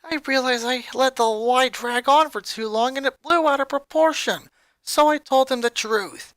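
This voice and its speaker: A woman's voice: high-pitched, moderate pace, no room sound, pitched above the performer's own voice, close to an everyday voice. A woman voicing an angry, hurt young boy in an emotional, emphatic fan fiction reading. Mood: agitated, upset. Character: angry, hurt young boy venting about his family.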